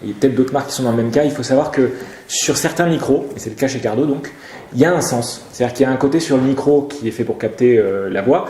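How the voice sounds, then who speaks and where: A man's voice: medium pitch, warm tone, roomy sound, slight background noise. A man presenting a question-and-answer show, explaining technical things in an informal way. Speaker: web show presenter and motorcycle gear retailer. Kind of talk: casual explanatory talk.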